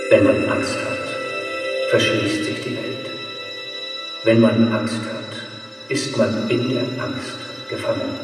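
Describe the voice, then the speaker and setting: A male actor in a German radio drama playing a solemn, hypnotic propaganda announcer with a measured, repetitive delivery. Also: on the low side, level tone. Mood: solemn, reassuring. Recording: echoing hall, distant, music behind.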